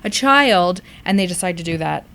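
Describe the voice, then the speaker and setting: A woman's voice: on the low side, lively intonation, normal speed, a bit bright, a little hiss or hum. A woman hosting a conversational podcast, reflective and exploratory as she puts questions to others. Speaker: podcast host.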